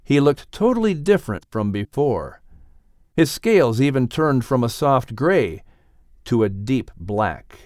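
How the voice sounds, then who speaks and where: A male narrator reads a dramatic animal tale for an audiobook. His pace is slow and measured, and the recording is dry and close, with little room around the voice. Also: mid-range voice, sing-song, a little mellow, no room sound. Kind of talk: scripted dramatic storytelling narration.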